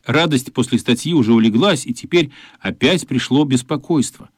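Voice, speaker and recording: A man's voice: medium pitch, normal speed, no room sound. A man reading scripted narration for a radio play. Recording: dry studio, music behind.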